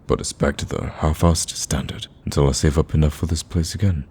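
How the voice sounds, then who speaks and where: A man playing a lovestruck villain in an ASMR romance roleplay, awkward yet cocky, speaking softly and intimately with hesitant stammers. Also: rumbling low, steady tone, brisk, breathy edge, full character voice. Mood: anxious, apologetic.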